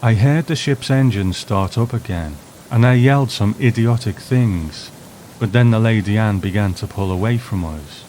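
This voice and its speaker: A man's voice: fairly low pitch, moderate pace, a little mellow, slight background noise, low-quality recording. A man hosting and narrating from a script, reviewing and reading a story aloud. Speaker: host and narrator. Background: music.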